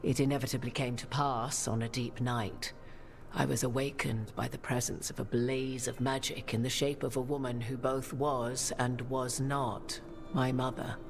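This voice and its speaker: A woman playing a solemn human sorceress in a video game, speaking in measured, archaic, formal phrases. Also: a bass voice, flat delivery. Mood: solemn, reflective. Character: solemn human sorceress bearing an ancient goddess's memories. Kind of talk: dramatic video game dialogue. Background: prominent music.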